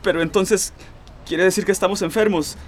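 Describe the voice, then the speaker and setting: An actor playing a panicky, melodramatic young hypochondriac boyfriend in a radio drama, stammering and whining. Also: male voice, sits high, steady tone, fairly quick, slight background noise, lightly acted. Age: young adult.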